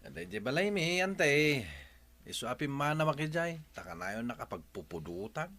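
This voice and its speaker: A man's voice: fairly high pitch, very animated, normal speed, fairly bright tone. A man playing a polite young nephew in a comedic radio drama, speaking with an Ilocano accent. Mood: polite, greeting.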